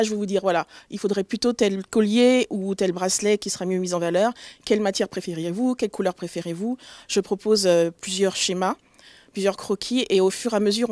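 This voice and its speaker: A woman's voice: mid-range voice, no echo. Hesitant woman guest, dry sound. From Togo. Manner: hesitant, many fillers, warm and proud. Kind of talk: interview answers.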